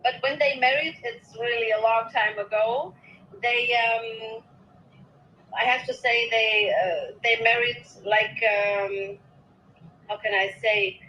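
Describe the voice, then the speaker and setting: Hesitant, giggly woman from Bosnia, slow. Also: on the high side, fairly even intonation, muffled recording, a little hiss or hum. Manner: hesitant, laughs often, many fillers. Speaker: guest. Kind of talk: casual conversation. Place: in her bed at night.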